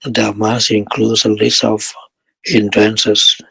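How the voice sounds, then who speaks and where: Male meditation guide, lowish voice, flat calm delivery in short measured phrases, close dry sound. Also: vocal fry, breathy edge, dry recording, rough recording. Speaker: meditation teacher leading a guided session.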